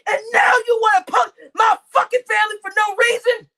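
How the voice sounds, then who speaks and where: A woman livestreamer is on a tearful, furious rant, her voice very high and shrill. She shouts and swears without pause, going back over the same complaints, and the voice cracks into a gravelly fry. It all sounds raw and unscripted. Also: fairly even intonation. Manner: shouting, heavy swearing, repetitive outbursts. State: crying. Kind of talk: angry livestream rant to chat.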